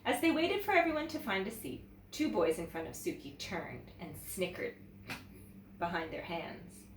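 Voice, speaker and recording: A woman teacher reading a children's story aloud in an expressive, animated way, pausing to check in with listeners. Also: lowish voice. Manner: expressive, pauses to ask listeners questions. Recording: roomy echo, distant mic, faint noise.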